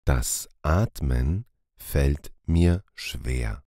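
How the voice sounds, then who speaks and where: A man reading short phrases calmly and deliberately for a language lesson, repeating each one. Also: very deep voice, no echo, clean studio sound. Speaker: language teacher.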